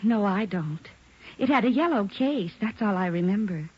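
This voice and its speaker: Casting: a woman as a helpful, talky landlady, mid-pitched, muffled old radio sound. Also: expressive, moderate pace, close to an everyday voice. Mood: chatty. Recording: muffled vintage radio, noise.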